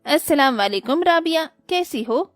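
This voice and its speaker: A woman's voice: sits high, animated, moderate pace, soft background music. An actress playing a scheming, mocking neighbor woman in a cartoon story. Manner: mocking, pushy.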